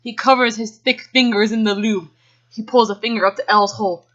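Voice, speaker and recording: A woman's voice: fairly high pitch, fairly even intonation, a little fast, a bit bright. A woman giving an amateur dramatic reading of fan fiction, giggly and given to casual asides. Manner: giggly, often breaks off to react. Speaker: reader. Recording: dull lo-fi home recording.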